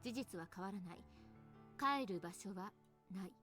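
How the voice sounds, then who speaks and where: A woman's voice: fairly low pitch, fast talker, a little mellow, lightly acted. An actress playing a blunt, teasing, self-assured woman in a Japanese visual novel, with a dismissive manner. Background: game music.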